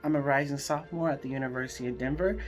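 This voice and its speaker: An eighteen-year-old university student speaking slowly and hesitantly about self-doubt. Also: mid-range voice, steady tone. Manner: hesitant, slow, reflective. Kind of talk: personal testimonial. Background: music.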